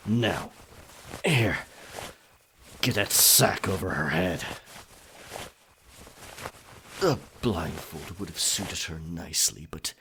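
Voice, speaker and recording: A man playing a dominant, sly pirate captain in a roleplay ASMR, with a raspy voice and slow, deliberate theatrical delivery. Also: low-pitched, expressive, pushed and bright, slight background noise, exaggerated voice. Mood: gloating, commanding. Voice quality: raspy, gravelly.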